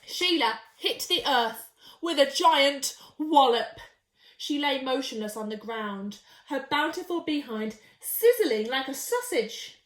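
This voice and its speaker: High, very animated woman storyteller. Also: slow pace. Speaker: storyteller reading to young children. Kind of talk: expressive picture-book narration. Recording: echoey, roomy sound.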